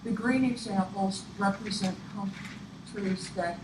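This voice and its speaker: A woman presenter giving an instructional presentation in a deliberate, explanatory way. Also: medium pitch, fairly even intonation. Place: echoey room, picked up from afar. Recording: echoey, distant room recording with clear noise. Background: clear room noise.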